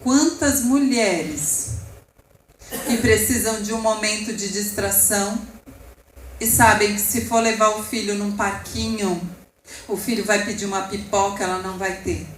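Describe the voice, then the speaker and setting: A woman's voice: mid-range voice, fairly even intonation, measured pace, echoey room, a little hiss or hum, rough recording. A woman with a Brazilian Portuguese accent preaching a sermon with passion, rising and falling and speaking asides to the congregation. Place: church hall.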